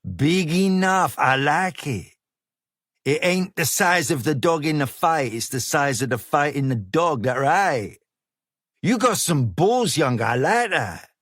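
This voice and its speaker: A man voicing a cocky, flashy male gang leader who talks in slang, smooth and menacing. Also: fairly high pitch, lively intonation, measured pace, a bit bright, dry recording, clean studio sound, voice pushed up. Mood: coaxing. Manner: coaxing street slang, persuasive.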